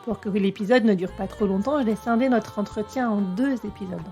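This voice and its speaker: A woman hosting a podcast interview, welcoming her guest and laying out the topics in a clearly structured way. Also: mid-range voice, brisk, mellow, soft background music. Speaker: podcast host.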